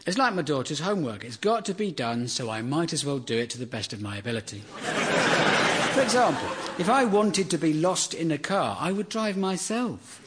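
A man delivering a deadpan, sardonic comedy monologue that builds into exasperated rants. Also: a little high, husky.

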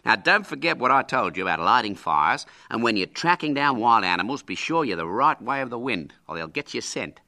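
A man plays a hearty storybook uncle. He is breezy and brisk, exclaiming in a bright, forceful voice that swoops up and down. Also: mid-range voice, big pitch swings, pitched above the performer's own voice, exaggerated voice. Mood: jovial, hearty.

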